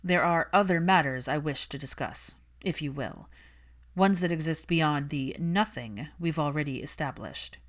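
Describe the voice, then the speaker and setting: A woman's voice: sits low, moderate pace, close to an everyday voice. A woman voicing a cold, irritated, posh young man in an audiobook, with a dry, clipped drawl. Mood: cold anger. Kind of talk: audiobook character dialogue.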